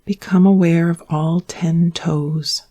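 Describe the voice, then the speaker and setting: Female meditation guide, mellow and dark in tone, steady and even in pitch, soothing, unhurried delivery. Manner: calm, soothing, unhurried instruction with long pauses. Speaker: yoga nidra meditation guide.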